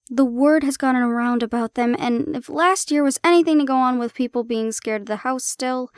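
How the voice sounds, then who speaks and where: A woman playing a harried but affectionate young girlfriend in an audio roleplay, expressive, teasing and coaxing. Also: sits high, no echo, studio quality, close to an everyday voice.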